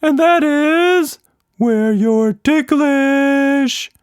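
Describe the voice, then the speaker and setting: A man voicing an excitable, exclamatory male magical monster with many arms, in a children's story. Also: very high voice, drawn-out words, dry recording, clean studio sound, voice pushed up, heavily acted. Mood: playful. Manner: enthusiastic, exclamatory.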